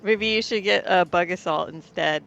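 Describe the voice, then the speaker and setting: A woman's voice: fairly low pitch, moderate pace, gravelly, a bit bright, dull audio. A woman co-hosting a livestream, chatting casually in loose, hesitant phrasing peppered with "like". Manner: hesitant, filler-heavy phrasing with frequent "like". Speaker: livestream co-host. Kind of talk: casual chat.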